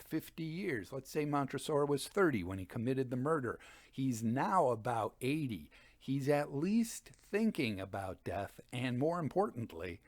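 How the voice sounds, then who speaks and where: A man hosting a reading series, giving conversational literary commentary with expressive, emphatic phrasing. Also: medium pitch, no echo. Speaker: host and dramatic reader.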